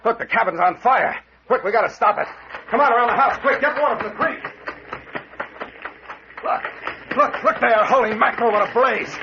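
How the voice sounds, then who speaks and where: An actor playing a brash, fearless captain in an old-time radio mystery, hard-boiled and slangy, with an American accent. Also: male voice, very high-pitched, moderate pace, vocal fry, pauses often. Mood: alarmed, exasperated. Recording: muffled, noisy old radio recording.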